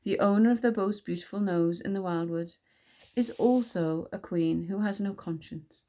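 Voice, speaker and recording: A wry enchanter king from a storybook, read by a woman. He is composed and sardonic, musing calmly and unhurried by threats. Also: medium pitch, lightly acted. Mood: scornful.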